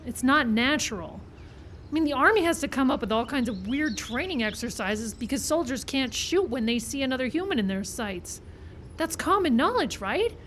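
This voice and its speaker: A woman with a fairly high voice, acted for a live radio play, level-headed and insistent, with a sly ambitious streak. She reasons and presses for agreement over clear background noise. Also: lively intonation, normal speed, a bit bright. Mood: anxious reasoning. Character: level-headed woman screenwriter pleading with gunmen, slyly ambitious. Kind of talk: live old-time radio style acting.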